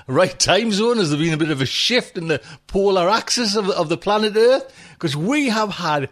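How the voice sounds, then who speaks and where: A middle-aged man, hosting a podcast in rambling, chatty talk, laughing and swearing now and then. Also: a little high. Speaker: podcast host. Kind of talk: casual chat.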